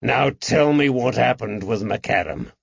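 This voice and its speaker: A man voicing a gruff, furious police constable who accuses and interrogates in an audiobook. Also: mid-range voice, animated, unhurried, no room sound, dull audio, heavily acted. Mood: stern, probing. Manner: stern, accusing, barking. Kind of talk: audiobook character acting.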